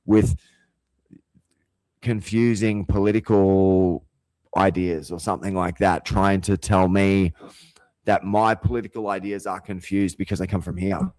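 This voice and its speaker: Deep-voiced man ranting. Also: level tone, a little slow, a little mellow, dry recording, rough recording. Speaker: vlogger. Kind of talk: rambling monologue.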